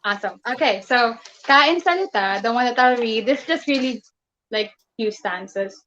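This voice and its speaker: A woman's voice: a little high, lively intonation, normal speed, fairly bright tone, slight background noise. A Filipino woman, a poet, chatting casually on a video call, mixing English and Tagalog and laughing often. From Philippines. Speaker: guest poet and flight attendant. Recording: dull lo-fi call audio.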